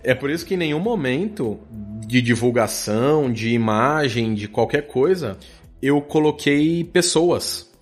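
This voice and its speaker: A man's voice: medium pitch, lively intonation, a little slow, soft background music. A Brazilian man in a casual podcast conversation, explaining his creative work in an informal, colloquial way as a guest. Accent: Brazilian Portuguese.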